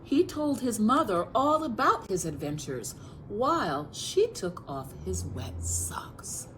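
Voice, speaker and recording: Unhurried, animated woman reading a storybook. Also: mid-range voice, slight background noise, rough recording. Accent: American. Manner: expressive, questioning, addresses children directly. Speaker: children's librarian reading at storytime. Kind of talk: storytime picture-book read-aloud with asides to young listeners.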